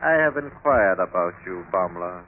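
An actor playing a composed magistrate who questions witnesses with formal insistence, in an old-time radio drama style. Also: male voice, sits low, animated, normal speed. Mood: calm, firm. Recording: tinny, hissy vintage radio broadcast.

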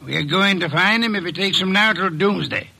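A man playing a shrewd, precise middle-aged detective in a 1940s radio drama, measured and a little pompous. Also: high voice, animated, vocal fry, loud music behind the voice.

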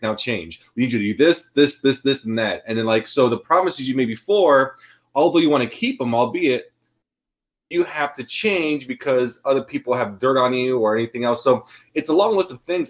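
Podcast co-host, male, mid-pitched, rambling casual chat. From Austria. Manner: rambling, full of restarts and fillers.

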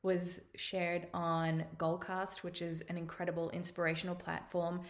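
Earnest Australian woman, fairly low voice. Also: monotone. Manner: earnest, encouraging, drawn-out phrasing. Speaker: podcast host. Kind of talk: motivational monologue.

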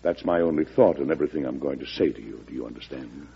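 A wartime American president with a low, husky voice. He speaks in a measured, folksy American way, firm and dryly wry, on a muffled vintage radio recording. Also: full character voice. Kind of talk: old-time radio drama acting, storytelling.

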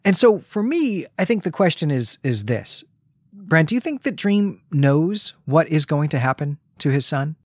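A man hosting a literary discussion podcast, talking in a thoughtful, measured way and often rephrasing himself as he goes. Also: on the high side, very animated, no room sound, clean studio sound. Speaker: podcast host. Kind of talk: literary discussion with retelling and reading aloud.

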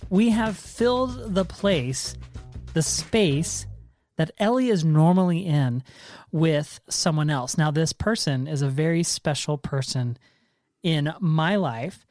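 A man's voice: fairly high pitch, slow, a little mellow, no room sound, soft background music. A man hosting a casual podcast with his father, talking in a playful, jokey way. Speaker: podcast host. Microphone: handheld recorder.

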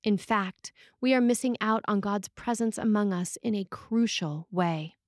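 A woman priest reads a scripted sermon in a measured, deliberate way, with pauses between phrases. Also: mid-range voice, no echo, clean studio sound.

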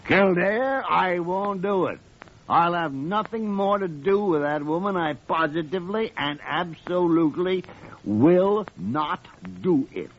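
An actor playing a gruff, crotchety old American senior doctor in a 1940s radio drama, muttering and theatrical. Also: male voice, high-pitched, unhurried. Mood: stubborn, blustering. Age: older. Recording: muffled, noisy old radio recording.

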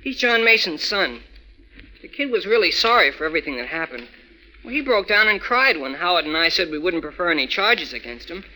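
Boyish cub reporter, slangy, breathless, very piercing tone, animated pitch, 1940s radio acting. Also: male voice, fairly quick, full character voice, rough recording. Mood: excited, earnest. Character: eager, excitable boy cub reporter, slangy and earnest.

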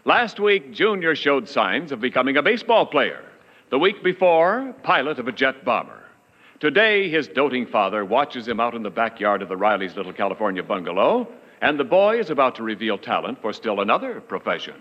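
A man's voice: medium pitch, very animated, a little fast. A man announcing in a polished, emphatic 1940s radio style, reading sponsor lines and scripted narration. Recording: muffled vintage radio broadcast in hall. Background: faint music.

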